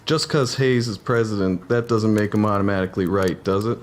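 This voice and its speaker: A man's voice: lowish voice, a little fast, lightly acted. A man playing a skeptical cavalry private in a historical drama, speaking in plain, folksy frontier language.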